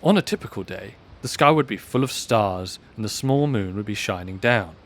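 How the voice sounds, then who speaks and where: A man's voice: fairly low pitch, lively intonation, normal speed, fairly bright tone. A man reading scripted story narration as the narrator of an audio drama.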